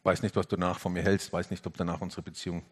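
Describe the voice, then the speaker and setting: Man, lower register; earnest preacher, moves between emphatic lifts and hushed passages. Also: little pitch movement, fast talker, husky. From Franconia, Germany. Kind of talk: reflective sermon.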